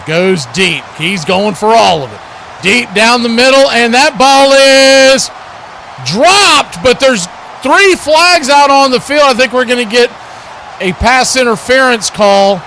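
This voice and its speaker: High-pitched man calling football play-by-play. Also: sharp tone, a little hiss or hum. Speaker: play-by-play sports host. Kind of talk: live American football commentary.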